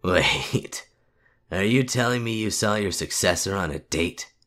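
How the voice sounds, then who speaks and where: A man's voice: deep voice, animated, unhurried, a bit bright, voice pushed up. A man voicing a blunt, wry huntsman in a dramatic story reading, with an informal way of talking. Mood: teasing. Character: blunt, wry male huntsman, a worldly friend. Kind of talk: dramatic fan fiction audio reading.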